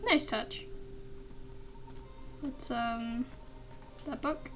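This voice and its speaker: A woman's voice: fairly high pitch, fairly even intonation, drawn-out words, over music. A woman giving casual let's play commentary, reacting aloud and talking to herself as she goes. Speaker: gaming video host.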